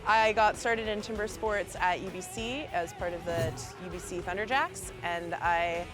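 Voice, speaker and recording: A woman's voice: on the low side, normal speed, music in the background. A woman athlete from Canada speaking modestly in an interview. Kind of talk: interview soundbite.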